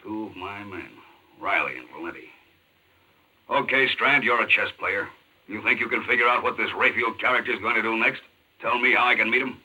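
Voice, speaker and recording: An actor playing a gruff, skeptical, blunt American police chief in a 1940s radio crime drama. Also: male voice, on the low side, brisk, bright, forceful tone, heavily acted, low-quality recording. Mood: grim, impatient. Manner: blunt, clipped, tough. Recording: old 1940s radio recording.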